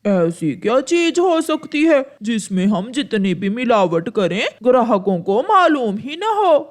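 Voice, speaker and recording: A woman as a slow, wheedling cartoon crow husband. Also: a little high, big pitch swings. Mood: hesitant. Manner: drawn-out, scheming, exaggerated. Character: greedy male crow shopkeeper, wheedling husband. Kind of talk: Hindi cartoon character acting.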